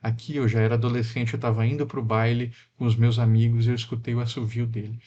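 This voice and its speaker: A man from Mato Grosso do Sul, Brazil, giving a lecture in a reflective, unhurried way, with frequent fillers and tag questions. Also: medium pitch, flat delivery, mellow, muffled recording. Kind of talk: recorded lecture.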